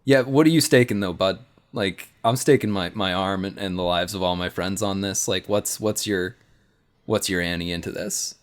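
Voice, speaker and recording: A man's voice: deep voice, normal speed, close to an everyday voice. A man playing an earnest, swaggering dude hero who makes slangy, rambling bro speeches. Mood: cheeky bargaining. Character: earnest, swaggering dude hero pitching wolf-pack solidarity. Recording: music behind.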